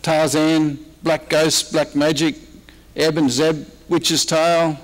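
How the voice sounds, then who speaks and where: Australian man, higher-pitched, giving an informal talk. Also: flat delivery, slow pace, poor audio. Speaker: historian giving a presentation. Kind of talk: informal slide-show talk. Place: convention presentation room, speaking at a microphone.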